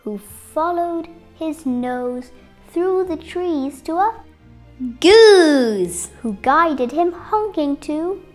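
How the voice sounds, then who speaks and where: An eight-year-old girl reading a children's picture book aloud, expressively and with deliberate pauses. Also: very high-pitched, expressive, darkish tone, faint music behind. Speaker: young storybook reader.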